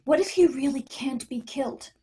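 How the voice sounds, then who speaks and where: A woman plays a shaken mother in a supernatural thriller read. Her voice sits a little high, and she presses with urgent, halting questions, determined to get answers. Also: dark tone, natural voice. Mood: shaken, insistent. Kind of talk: screenplay table read acting.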